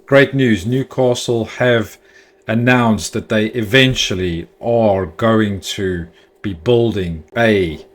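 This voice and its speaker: A man's voice: lowish voice, slight background noise. A man hosting a football fan channel, giving chatty, opinionated news commentary with the odd filler.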